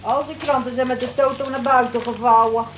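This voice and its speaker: A woman's voice: on the high side, steady tone, a little fast, close to an everyday voice. An actress in a radio play playing a weary, down-to-earth night cleaning woman who grumbles and sighs in an earthy way. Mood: wry. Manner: earthy, complaining, sighing. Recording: echoey, dull, hissy old radio recording.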